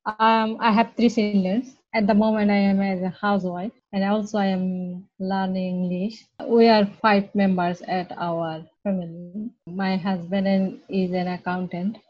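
A woman's voice: medium pitch, flat delivery. Hesitant woman learner from Bangladesh. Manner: halting learner English with many fillers. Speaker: ESOL student. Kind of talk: casual self-introduction. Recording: dull lo-fi recording.

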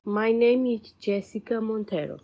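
A woman from Portugal, a student reading her own poem slowly and carefully. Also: fairly high pitch, mellow, lo-fi sound. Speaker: language student.

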